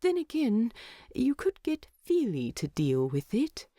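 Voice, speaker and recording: A woman voicing a sleepy, gently teasing male hobbit with a soft manner in a narrated story. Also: a little high, huge pitch swings, measured pace, dry recording, clean studio sound, voice pushed up, exaggerated voice. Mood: sleepy, sympathetic.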